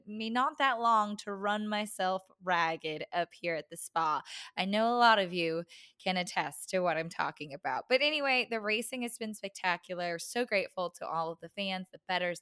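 Hoarse woman hosting a chatty podcast. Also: lowish voice, bright, forceful tone, dry recording. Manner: chatty, upbeat, with fillers. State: hoarse, raspy voice. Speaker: podcast host. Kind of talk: casual podcast interview.

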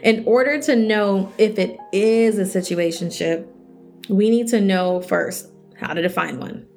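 A woman's voice: unhurried, creaky, faint music behind. Chatty woman podcast host, slightly scratchy. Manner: chatty, animated, quotes others in higher voices. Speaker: podcast host, self-worth coach. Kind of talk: casual solo podcast talk.

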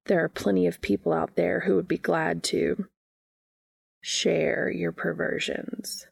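A woman voicing a forward, unashamed man who is calm, matter-of-fact and teasingly flirtatious, in a read-aloud story. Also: fairly low pitch, slow pace, gravelly, husky, dry recording, studio quality, exaggerated voice. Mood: reassuring, flirtatious. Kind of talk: fan fiction character dialogue.

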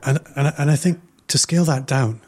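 A man hosting a podcast interview, talking in a reflective, measured way and building his points through repetition. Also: mid-range voice, darkish tone. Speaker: podcast host.

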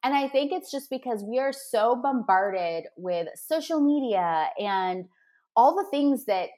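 A woman's voice: fairly high pitch, lively intonation, unhurried, darkish tone. A woman talks as a podcast guest, coaching listeners with upbeat, motivational energy. She lays out her advice step by step, in a casual, conversational way.

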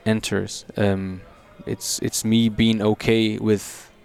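A man's voice: lowish voice, little pitch movement, takes it slowly, gravelly, darkish tone, smooth. A young man who grew up in Brazil, a musician talking thoughtfully in an interview, often repeating words as he searches for what to say. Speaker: musician guest. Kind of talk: conversational interview.

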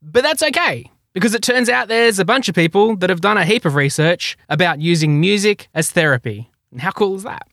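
A man's voice: high voice, lively intonation, brisk, hardly any pauses, fairly bright tone, dry recording, clean studio sound. A man hosting a health technology podcast, upbeat and chatty as he introduces his guest. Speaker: podcast host. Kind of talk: podcast interview.

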